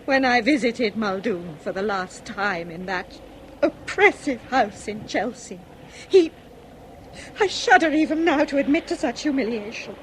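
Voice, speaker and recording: Radio drama: high-pitched upper-class English lady, wronged and anguished, formal, theatrical, slight background noise. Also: animated, a little slow, low-quality recording. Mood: shuddering, bitter. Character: anguished aristocratic lady confessing, dignified, wronged wife.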